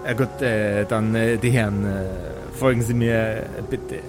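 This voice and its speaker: A man acts out a village mayor in a fantasy tabletop play, formal and easily flustered. He speaks slowly and haltingly, stumbling politely from one hesitation to the next. Music plays clearly behind him. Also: on the low side, fairly even intonation, lightly acted. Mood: flustered, hesitant. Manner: stammering, full of drawn-out hesitations. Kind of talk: improvised tabletop audio play.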